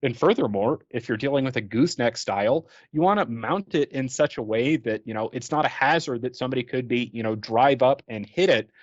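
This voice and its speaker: A man's voice: mid-range voice, fairly quick, hardly any pauses, no echo, highs cut off. A man presenting an informal instructional talk over slides, explaining things in a conversational, loosely phrased way peppered with "you know". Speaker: product training presenter.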